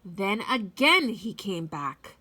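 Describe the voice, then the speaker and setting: A woman reading a children's storybook aloud in a dramatic, deliberate, expressive way. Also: medium pitch.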